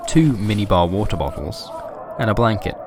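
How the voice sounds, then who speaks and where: Deep-voiced man narrating a horror story. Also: very animated, moderate pace, background noise.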